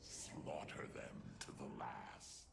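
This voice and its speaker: An actor voicing a menacing, merciless battle announcer in a video game, speaking in a grand, ominous, commanding way. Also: male voice, slow pace, lightly acted. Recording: quiet game audio under loud noise.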